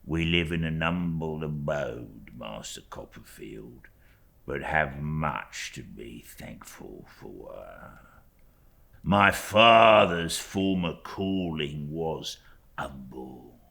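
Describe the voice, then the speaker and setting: An unctuous young clerk from a classic novel. The voice is extremely low and gravelly, with a tense edge. He crawls through each phrase with false humility, letting the endings drop to a hush. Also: animated, heavily acted. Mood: falsely humble. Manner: slow, obsequious, drawn-out, hushed phrase endings. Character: oily, falsely humble young male law clerk. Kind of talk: audiobook character acting.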